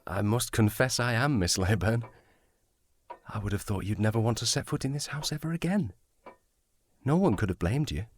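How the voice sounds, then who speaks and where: An actor playing a courteous, reserved police sergeant in a period audio drama, speaking in a formal, measured manner. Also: male voice, on the low side, animated, slight background noise, natural voice. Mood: courteous surprise.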